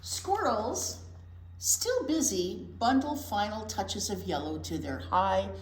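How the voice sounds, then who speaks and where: An American woman reading a picture book aloud to young children, drawing out words expressively and adding chatty asides. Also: medium pitch. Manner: expressive, drawn-out storytelling. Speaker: children's storytime teacher. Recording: echoey room with clear background noise.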